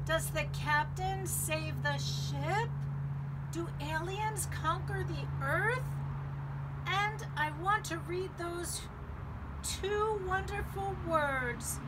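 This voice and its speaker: A woman voicing an earnest, eager young male storybook dragon, pleading in drawn-out words for a children's read-aloud. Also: very high-pitched, pitched above the performer's own voice. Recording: distant outdoor mic, clear background noise.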